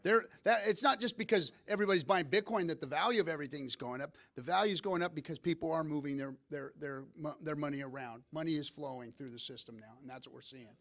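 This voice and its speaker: A man on a seminar panel explains his points emphatically to the room. His voice sits a little high for a man. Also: quick pace, few pauses, fairly bright tone. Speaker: seminar speaker. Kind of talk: live seminar panel talk.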